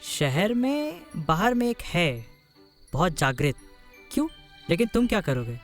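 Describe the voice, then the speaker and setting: A man's voice: high-pitched, huge pitch swings, unhurried, faint music behind, exaggerated voice. A man playing a helpful, practical young friend in a cartoon story, advising in a friendly, matter-of-fact way. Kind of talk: Hindi cartoon story acting.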